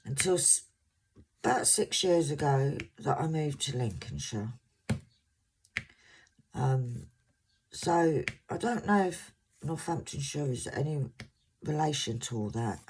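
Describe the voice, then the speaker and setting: A woman in her fifties, originally from Scotland, with a soft voice and a mixed English accent, chatting to viewers in a casual, rambling way and sometimes stumbling over words. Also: low voice, lively intonation, slow, long pauses, slight background noise. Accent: English, mixed southern. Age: 50s. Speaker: crafting vlogger.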